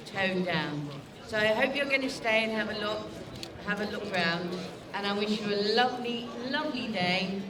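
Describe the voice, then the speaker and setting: Mayor, female, middle-aged, chatty informal speech, roomy distant pickup. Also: medium pitch. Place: public event at a bus garage. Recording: echoey, distant microphone, crowd behind.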